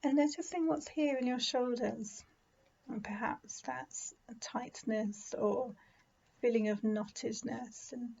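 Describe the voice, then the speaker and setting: Soft mellow woman guiding meditation. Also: fairly high pitch, vocal fry, muffled recording. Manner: gentle, soothing, unhurried with pauses. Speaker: meditation guide. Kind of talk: guided body scan meditation.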